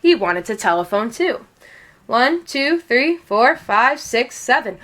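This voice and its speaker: A woman's voice: a little high, big pitch swings, slow, fairly bright tone. A woman reading a children's story aloud expressively, drawing out key words for dramatic emphasis. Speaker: storybook reader.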